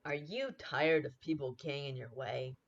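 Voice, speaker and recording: A woman's voice: a bass voice, fairly even intonation, normal speed. A woman playing a cheerful salesy advertiser in a parody old-time radio commercial, on dull lo-fi audio. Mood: cheerfully salesy. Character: cheerful pitch-making assassin-for-hire advertiser.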